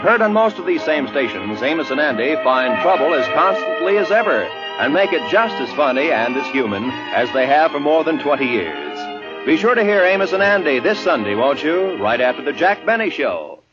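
A man's voice: fairly high pitch, very animated, normal speed. A man with an American accent announcing in a polished, formal 1950s network radio style. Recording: dull vintage 1950s radio recording. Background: music.

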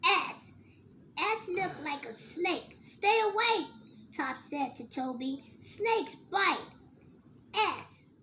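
A child with a very high, lively voice reads a picture book aloud at a slow pace. The reading is sing-song, on an amateur recording with slight background noise.

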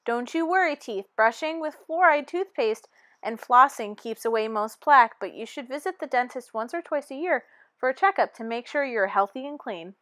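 A woman with a high voice reads a children's picture book aloud. Her delivery is expressive and teacherly, and the sound is dry and close, with very little room. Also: moderate pace, no room sound. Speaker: storybook reader.

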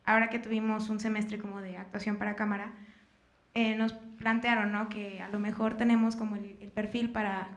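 A young woman from Mexico, a student asking a question into a handheld mic in a dark auditorium, searching for her words as she goes. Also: mid-range voice, level tone, fairly quick. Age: young adult. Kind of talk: audience question. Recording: echoey room.

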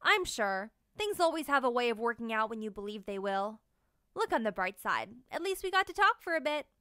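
Woman voicing a determined, high-voiced young tortoise. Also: big pitch swings, a little fast, bright, forceful tone, smooth, no room sound, studio quality. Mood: determined, hopeful.

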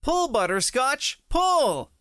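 An excitable, bossy little boy knight from a kids' comic , acted out by a woman. The delivery swings up and down wildly but takes its time, with a close, dry sound. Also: sits high, slow pace, smooth, dry recording, exaggerated voice. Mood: excited. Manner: exclamatory, playful, dramatic. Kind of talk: children's comic read-aloud.

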